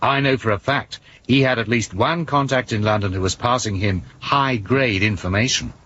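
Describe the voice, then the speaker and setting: An actor playing a blunt, sceptical police inspector who probes and challenges in a radio drama. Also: male voice, medium pitch, normal speed, sharp tone, lo-fi sound, a little hiss or hum, close to an everyday voice. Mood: accusing, insistent. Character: dogged, suspicious police inspector confronting a secret service man.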